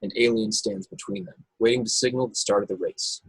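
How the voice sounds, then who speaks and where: A man's voice: fairly low pitch, brisk, breathy edge, no room sound, poor audio. A man narrating stage directions from a script at a table read over a video call. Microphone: video call, close and dry.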